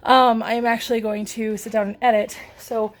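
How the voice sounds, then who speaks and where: A young woman from Minnesota with a deep voice, chatting casually and rambling with lots of ums and restarts. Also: flat delivery, moderate pace. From Minnesota, USA. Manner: rambling, chatty, many ums and self-corrections. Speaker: vlogger. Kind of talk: casual chat.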